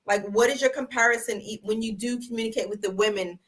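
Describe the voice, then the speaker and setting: Bright, forceful woman hosting a panel. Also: fairly high pitch, fairly even intonation, fast, rough recording. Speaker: panel show host. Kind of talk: casual interviewing. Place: online group video call.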